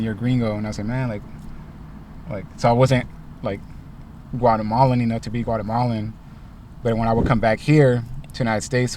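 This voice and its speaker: Young man, rapper, relaxed, filler-heavy, low-ish voice, distant mic, faint car noise. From Los Angeles. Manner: laid-back, many ums and likes. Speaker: rapper, interview guest. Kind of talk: casual interview answers. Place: inside a parked car.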